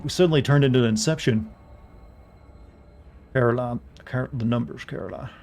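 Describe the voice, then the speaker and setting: A man streaming a game, chatting wryly with joking asides, his voice a bit raspy. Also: mid-range voice, moderate pace, a little mellow, a little hiss or hum. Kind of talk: live gameplay commentary. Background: faint game music.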